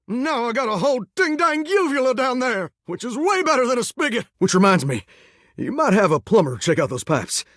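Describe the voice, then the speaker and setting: An actor playing a cocky, folksy singing faucet in a video game, styled as a 1950s heartthrob with a hammy, drawling American Southern voice. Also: male voice, high voice, fairly bright tone, dry recording, clean studio sound, heavily acted. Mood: dreamy, earnest. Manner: hammy, drawling, old-fashioned rock-and-roll showman. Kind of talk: theatrical game voice acting.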